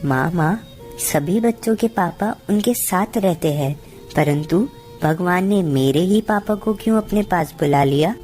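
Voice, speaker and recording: An earnest, polite young boy from a cartoon moral story, acted by a woman. The voice sits fairly low, with a sad streak, over faint music. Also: expressive, unhurried, dark tone. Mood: sad, questioning. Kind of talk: Hindi cartoon story dialogue.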